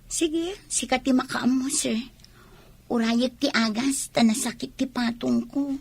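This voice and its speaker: A woman's voice: sits high, steady tone, a little slow, creaky, husky, dry recording, heavily acted. A woman with an Ilocano accent playing a coy, scheming young college girl in a radio drama. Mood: complaining.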